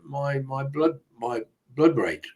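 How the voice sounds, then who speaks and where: A man's voice: mid-range voice, lively intonation, takes it slowly, darkish tone. A man moderating an online panel discussion, talking hesitantly with many fillers and restarts over a video call.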